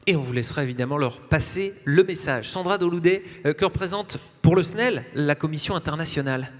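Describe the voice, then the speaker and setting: A man's voice: on the high side, brisk. A man working as a journalist, moderating a live panel interview and prompting his guests with questions. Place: congress hall. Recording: echoey large hall.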